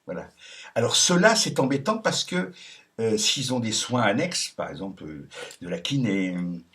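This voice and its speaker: A man with a slightly low voice talks through a casual monologue, reading out messages and commenting on them. He sounds sardonic and chuckles often between remarks. His talk is hesitant, full of ums and restarts. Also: very animated, moderate pace, a bit bright. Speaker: video commentator.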